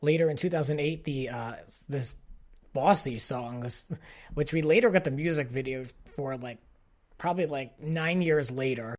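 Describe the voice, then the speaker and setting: A man's voice: medium pitch, normal speed, a little mellow, faint music behind. A man hosting a podcast, giving a casual, exasperated and opinionated fan commentary peppered with "like". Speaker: podcast host. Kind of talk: casual pop-culture commentary rant.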